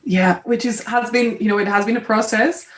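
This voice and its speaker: A woman's voice: fairly high pitch, moderate pace, reverberant, recorded from a distance. A Colombian woman with a Spanish accent, guest on a podcast, chatting openly and laughing often. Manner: warm, giggly, expressive. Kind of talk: casual conversation.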